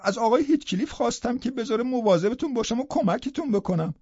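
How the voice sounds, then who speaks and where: Awkward rough young man voiced by a male reader, hesitant murmur, mellow tone, dull audiobook sound. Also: very high-pitched, animated, dry recording, voice pushed up, full character voice. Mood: aggrieved.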